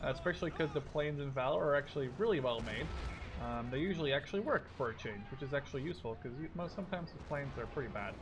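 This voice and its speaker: Guy in his 20s doing casual lets play, swears a lot, mid pitch voice, game gunfire n engines behind him. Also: lively intonation, fast talker, dull audio, noisy background. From Canada or the Netherlands. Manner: sweary, thinking aloud. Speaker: gaming video host. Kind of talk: casual let's play commentary. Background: war game artillery, gunfire and vehicles.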